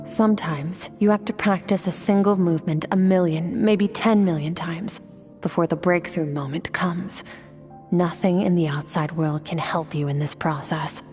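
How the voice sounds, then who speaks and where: A woman playing a cool, aloof young swordswoman mentor in an anime game, speaking in a measured, deadpan, instructive way. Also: fairly low pitch, lightly acted. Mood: reflective. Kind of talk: anime video game voice acting. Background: background music.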